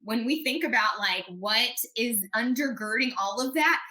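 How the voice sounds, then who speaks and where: Female podcast guest, animated and confident, slightly high voice, bright edge. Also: moderate pace. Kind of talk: conversational interview.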